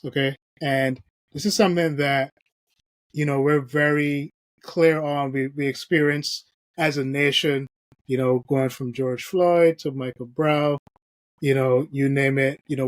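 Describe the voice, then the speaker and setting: A man hosting a podcast, earnestly reading from an article and filling pauses with "you know". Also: medium pitch, steady tone, dry recording. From Barbados. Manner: earnest, reads aloud from an article with frequent fillers. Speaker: podcast host. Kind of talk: podcast discussion.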